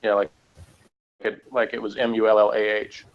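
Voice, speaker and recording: A man chatting casually as a podcast reviewer, explaining things in a halting, rambling way and often correcting himself. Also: on the low side, level tone, dull audio.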